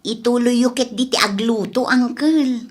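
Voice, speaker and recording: A woman's voice: a little high, unhurried. A woman voicing a sensible young nephew in a radio drama, reasoning patiently in a conversational tone. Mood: exasperated, calming.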